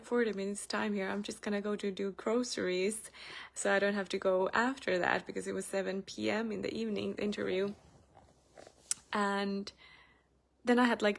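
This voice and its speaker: Finnish lady vlogging, bit of vocal fry, lots of "like" and "um", chatty and open. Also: medium pitch. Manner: chatty, frequent fillers, animated when retelling. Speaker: spiritual channel host. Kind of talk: casual personal vlog.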